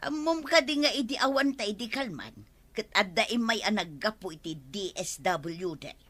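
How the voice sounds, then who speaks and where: A woman's voice: medium pitch, expressive, normal speed, fairly bright tone. A woman with an Ilocano Filipino accent playing a nosy, nagging village wife in a radio comedy drama. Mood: nagging, explaining.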